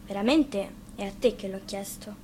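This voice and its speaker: A woman voicing a calm, proud male wolf who leads his pack in a read-aloud fairy tale, speaking in a measured way. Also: medium pitch, a little hiss or hum, close to an everyday voice. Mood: firm.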